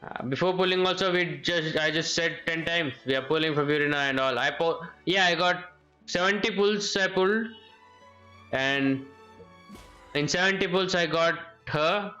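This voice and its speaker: A man's voice: on the high side, moderate pace, bright, forceful tone, poor audio. A man giving casual live game commentary, thinking aloud and often repeating his phrases. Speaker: game streamer. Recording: game music behind the voice. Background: video game music.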